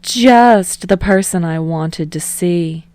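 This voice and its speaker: A woman voicing a confident, glamorous record executive in an audiobook, bright and playful. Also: lowish voice, slow, clean tone. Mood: bright, teasing.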